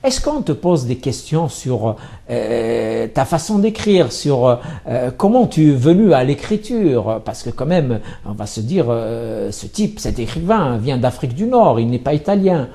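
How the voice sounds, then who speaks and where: A man's voice: medium pitch, sing-song, a little slow, mellow. A male television host interviewing a writer, eagerly finishing his guest's sentences.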